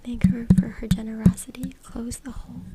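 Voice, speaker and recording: A woman whispering breathily and softly in an ASMR style as she reads aloud, close to a USB microphone. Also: fairly high pitch, little pitch movement, fairly quick. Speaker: ASMR content creator. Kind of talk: ASMR whispered reading from a book. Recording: very noisy close USB mic, clicks. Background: loud noise, tapping and scratching clicks.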